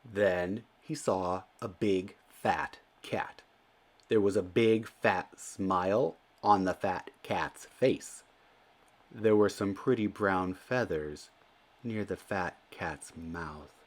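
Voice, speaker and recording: A man reading a children's storybook aloud as narrator, in an expressive and deliberate storytelling manner. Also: low-pitched. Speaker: children's book reader.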